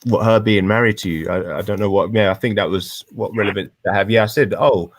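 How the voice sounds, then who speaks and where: Man in his thirties, British accent, low voice, dry close sound, argumentative, repetitive, halting slangy speech. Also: dry recording. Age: 30s. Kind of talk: heated argumentative debate.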